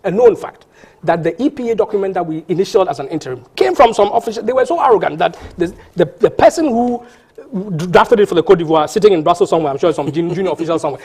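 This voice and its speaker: A man's voice: sits high, a little mellow, husky. A man, a panel guest arguing insistently in a heated TV debate, often restarting and repeating words.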